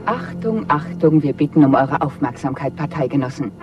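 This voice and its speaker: An actor playing a state announcer proclaiming triumphant propaganda bulletins formally over a loudspeaker in a radio drama. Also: male voice, a little high, lively intonation, normal speed. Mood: solemn triumph. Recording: distant, dull loudspeaker sound with music.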